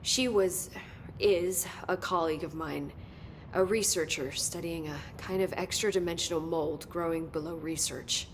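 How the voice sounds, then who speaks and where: A woman's voice: normal speed, natural voice. An actress playing an eager, precise, nerdy woman scientist in a video game. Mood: earnest, concerned. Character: eager, earnest woman scientist, brainy and intense. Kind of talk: naturalistic video game acting, technical explanation. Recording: faint rumbling background noise.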